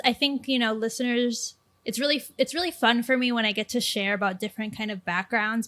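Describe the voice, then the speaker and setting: A woman from Chile hosting a casual interview podcast, chatty, with many "like"s and "um"s. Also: fairly high pitch. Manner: warm, chatty, lots of "like" and "um". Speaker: podcast host.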